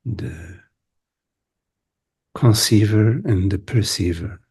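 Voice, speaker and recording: A man guides a meditation in a low, very husky and breathy voice. His delivery is mellow and contemplative, broken into short phrases with long silences between them. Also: slow pace. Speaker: meditation teacher. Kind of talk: guided meditation, spoken softly to listeners.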